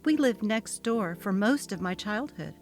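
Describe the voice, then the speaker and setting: She is a mild, courteous office employee played by a woman narrator, guarded around a bullying boss. The delivery is plain-spoken and unhurried in a dramatic story reading, over music. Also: medium pitch, lively intonation.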